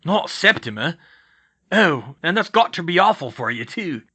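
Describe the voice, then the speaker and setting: Storybook gentle giant, older male, gruff and countrified, forceful delivery, plain-hearted performed by a man. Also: a little high, very animated, normal speed, pitched above the performer's own voice, exaggerated voice. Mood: horrified, regretful. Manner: rustic, ungrammatical, dropped words. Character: big gruff, kindly giant-sized older man, rustic, protective.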